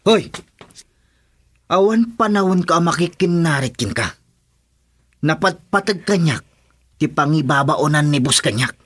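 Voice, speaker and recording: A man's voice: a little high, expressive, measured pace, long pauses, fairly bright tone. A man playing a wary henchman who takes orders from his boss in a radio drama. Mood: defensive.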